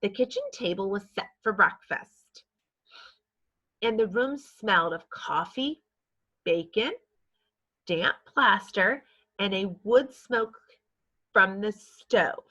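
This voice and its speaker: A woman narrates a children's storybook at a measured reading gait. Her voice is very bright with a husky, breathy grain. The recording is slightly dull. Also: medium pitch, animated. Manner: measured, acts out dialogue. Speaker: storybook reader.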